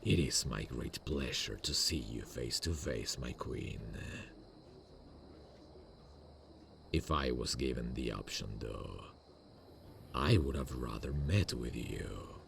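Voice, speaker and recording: Very deep, gravelly demon king. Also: a bit bright, a little hiss or hum, full character voice. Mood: courteous, charmed. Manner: grandiose, theatrical, slow and deliberate. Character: victorious proud demon king, boastful and charming warlord. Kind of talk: ASMR fantasy audio roleplay.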